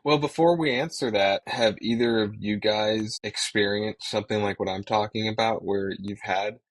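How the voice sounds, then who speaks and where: A man with a fairly low voice talks as a podcast co-host. He explains things earnestly in a casual, conversational style, like a working pilot speaking to listeners. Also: moderate pace, rough recording.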